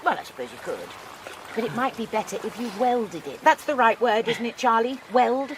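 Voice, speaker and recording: A proper spinster. She speaks crisply and properly in a slightly high voice. Faint noise runs beneath. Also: lively intonation. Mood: prim. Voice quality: prim, well-spoken and brisk. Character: prim proper English spinster, brisk and devout. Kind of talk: radio drama acting.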